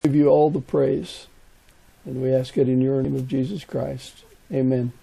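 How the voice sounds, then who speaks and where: A man's voice: medium pitch, little pitch movement, moderate pace, vocal fry, warm tone, breathy edge, low-quality recording. A man from the United States hosting a conversational interview podcast.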